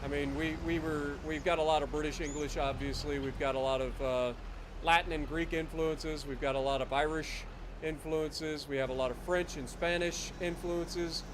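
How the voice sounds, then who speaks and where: A rambling man with an Ohio accent, talking over his gameplay and answering chat in a slightly high voice. Steady noise like passing traffic hums behind him. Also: moderate pace. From Ohio, USA. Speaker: livestreamer. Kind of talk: casual livestream chat while gaming.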